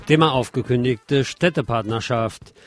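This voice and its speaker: A man reads the news on radio at a middle pitch, in a scripted style that slips into colloquial commentary. Guitar music plays behind his voice. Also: normal speed.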